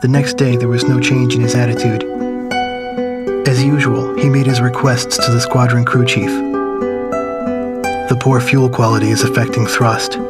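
Game story narrator, played by a man: reflective man looking back on war, mid-pitched, flat and restrained. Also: loud music behind the voice, lightly acted. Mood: somber, reflective. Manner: somber, measured, reflective. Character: man somberly recalling his wartime childhood. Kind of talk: scripted first-person story narration.

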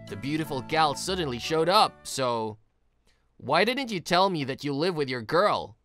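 A woman's voice: sits low, animated, moderate pace, a bit bright. A woman playing a teasing, admiring young male office worker in a story, with a chatty manner. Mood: surprised. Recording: music behind the voice.

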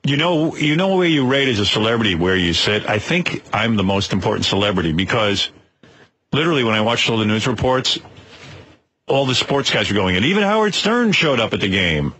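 A man's voice: fairly low pitch, sing-song, a little fast, a bit bright, a little hiss or hum, rough recording. A man bantering as a radio host, casual, brash and boastful in the way he talks.

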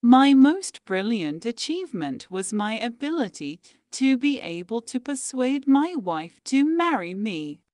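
A woman reading quotations aloud in a slow, measured, deliberate way, pausing between phrases. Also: fairly high pitch, big pitch swings, a little mellow, dry recording, clean studio sound. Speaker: quote reader. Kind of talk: slow scripted quote reading.

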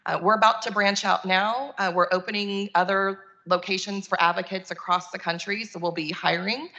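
A woman's voice: mid-range voice, steady tone, normal speed, bright, forceful tone, dull audio. A woman giving a conversational, earnest keynote talk over a video call. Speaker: keynote speaker, nonprofit chief executive.

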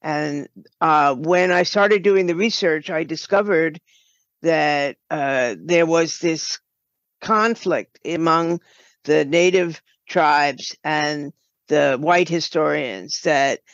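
An older woman answers as an interview guest, reflective and halting. She speaks very slowly, pausing to find her words. The sound is dull and narrow, with almost no room echo. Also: deep voice. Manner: halting, pausing mid-phrase to choose words. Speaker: podcast guest, writer and producer.

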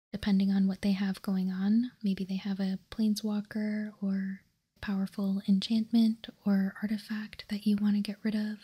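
Breathy whispering ASMR woman, slow and even. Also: mid-range voice. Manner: slow, soft, deliberate delivery with careful pauses. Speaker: host of a trading card ASMR channel. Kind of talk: whispered ASMR, reading out and commenting on cards.

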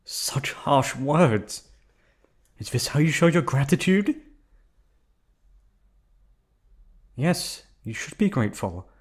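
A man's voice: mid-range voice, big pitch swings, a little slow, husky. A man voicing an obsessive male yandere hotel receptionist in an ASMR drama, silky and polite, sliding into menace and close whispers. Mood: angry. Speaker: voice actor. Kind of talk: ASMR roleplay audio drama.

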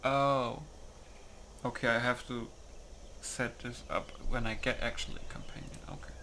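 A man's voice: mid-range voice, level tone, moderate pace, bright, forceful tone, slight background noise. A man doing casual game commentary, muttering to himself as he reads settings aloud and comments loosely. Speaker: gamer recording a mod setup.

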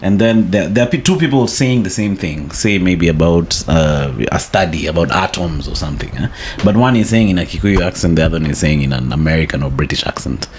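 A man with a Kenyan accent hosting a casual podcast chat in a slangy, animated way, full of catchphrases. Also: sits low, a little fast. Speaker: podcast host. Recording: clear background noise.

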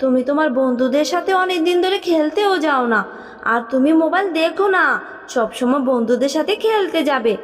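A woman's voice: high voice, lively intonation, a little fast, big-room sound. A woman voicing a kindly, generous talking magic tree in a cartoon, earnest and reassuring. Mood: gently admonishing.

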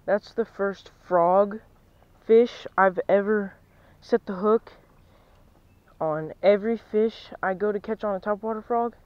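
A young man, vlogging as he fishes from a lake bank, chatty and excitable, dropping to a near-whisper at times. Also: sits high, slow pace, smooth, no echo, lo-fi sound. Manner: chatty, excitable, drops to near-whisper while stalking fish. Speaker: fishing vlogger. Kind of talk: casual vlog narration. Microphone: chest-mounted action camera.

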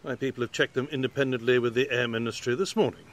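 An actor playing a formal, deferential government minister in a radio drama. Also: male voice, medium pitch, steady tone, quick pace, fairly bright tone, slight background noise, lightly acted. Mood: formal assurance.

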